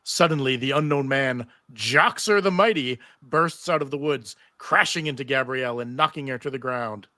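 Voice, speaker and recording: A man's voice: medium pitch, moderate pace, few pauses, pushed and bright, no echo, clean studio sound. A man narrating stage directions from a script at a live table read.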